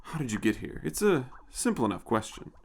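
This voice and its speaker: A man's voice: medium pitch, big pitch swings, a little fast, creaky, a little mellow. A man voicing a stern, suspicious military colonel who interrogates in a clipped, demanding, hard-nosed way. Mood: coolly interrogating.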